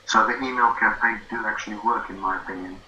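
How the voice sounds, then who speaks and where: A man's voice: lowish voice, fairly even intonation, quick pace, pushed and bright. A man in his fifties with a South African accent, a guest speaking casually and hesitantly on a video call. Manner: hesitant, many fillers and restarts. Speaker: guest, affiliate marketing student. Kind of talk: casual interview over a call. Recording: lo-fi roomy call audio with faint noise.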